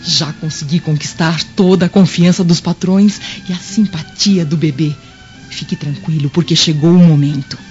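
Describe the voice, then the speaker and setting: A woman playing a furtive, scheming maidservant in a radio drama, speaking in a hushed, conspiratorial way. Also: deep voice, fairly even intonation, normal speed, soft background music, natural voice. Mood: secretive. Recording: dull lo-fi radio drama recording.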